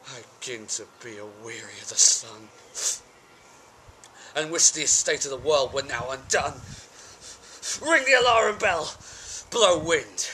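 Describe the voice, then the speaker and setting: A man's voice: lowish voice, pitched below the performer's own voice. A man playing a brooding, war-weary tyrant king in an intense, theatrical Shakespearean tragic monologue. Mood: desperate defiance. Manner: theatrical, intense, shifting between hushed and outbursts. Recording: amateur home video with background noise. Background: birds and ambient noise.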